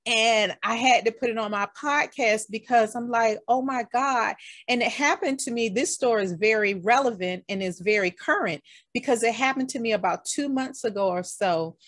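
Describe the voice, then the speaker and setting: Brief: woman podcast host, high-pitched, enthusiastic and conversational. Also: normal speed, dry recording, studio quality. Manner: warm, enthusiastic, talks straight to listeners with "y'all". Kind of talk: casual interview chat.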